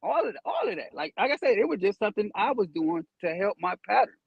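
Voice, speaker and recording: A man's voice: high-pitched, very animated, quick pace, gravelly, breathy edge, no room sound, lo-fi sound. A young man guesting in a casual conversation, laid-back, repeating himself as he explains at length.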